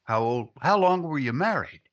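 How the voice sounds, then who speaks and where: A man acts a brisk, probing reporter in an old radio drama. He is direct and informal, with a bright voice and animated pitch, in slightly dull sound. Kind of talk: 1950s radio drama acting.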